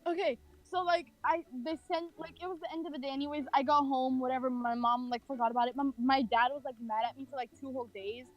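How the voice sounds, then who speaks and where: A woman's voice: sits high, fairly even intonation, fast, few pauses, dull audio. A woman telling a funny personal story in a casual, self-deprecating, sweary and giggly way. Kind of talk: casual humorous personal anecdote. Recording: music behind the voice. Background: background music.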